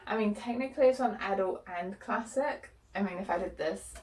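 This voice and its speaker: A young woman, chatting frankly to camera in a vlog with lots of fillers, her voice a bit croaky from being unwell. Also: medium pitch, fairly quick, a little mellow, low-quality recording. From New Zealand. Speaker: book vlogger. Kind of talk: casual chat to camera.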